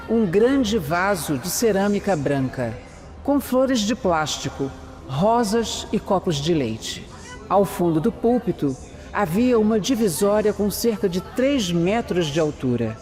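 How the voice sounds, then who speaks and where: A man's voice: high voice, darkish tone. A man with a Brazilian accent playing a methodical detective who narrates an audio drama. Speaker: narrator and protagonist. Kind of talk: scripted first-person narration in an audio drama. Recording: long reverb, music underneath.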